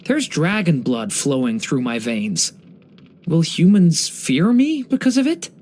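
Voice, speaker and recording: An expressive, gentle young dragon boy. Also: on the high side, measured pace. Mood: earnest, wistful. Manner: polite, earnest, storytelling. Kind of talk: English video game character voice lines.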